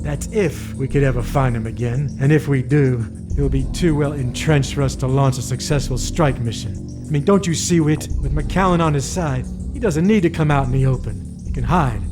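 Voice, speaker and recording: A commanding covert-operations chief played by a male actor lays things out with calculating authority. His voice is medium in pitch and emphatic, over clear audio drama music. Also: close to an everyday voice.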